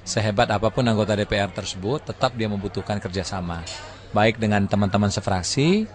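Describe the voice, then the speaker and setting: A man's voice: on the low side, a little fast. A man speaking as a parliamentarian, explaining things methodically, step by step. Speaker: member of parliament. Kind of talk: explanatory talk.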